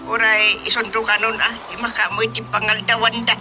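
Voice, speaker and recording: A man's voice: sits high, completely flat delivery, brisk, music in the background. A man with an Ilocano accent playing a folksy, talkative village chairman in a radio drama comedy. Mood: businesslike, friendly.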